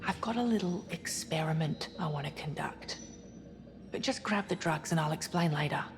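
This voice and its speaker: An actress playing an earnest, suspicious scientist aboard a spaceship in a cinematic video game. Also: female voice, deep voice, normal speed, close to an everyday voice. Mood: brisk, secretive. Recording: long reverberant hall, music behind.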